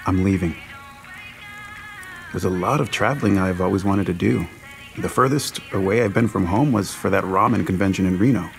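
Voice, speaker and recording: An actor playing an earnest, wry, heartbroken restaurant cook, hesitant and understated, in naturalistic audio drama. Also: male voice, low voice, moderate pace, vocal fry, faint music behind. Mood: wistful, resigned.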